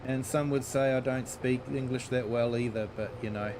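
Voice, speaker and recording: A man's voice: mid-range voice, fairly even intonation, moderate pace, noisy background. A middle-aged man with a New Zealand accent, chatting casually with viewers while streaming a farming game and greeting them by name. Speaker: gaming streamer. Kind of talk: casual live-stream chat while playing.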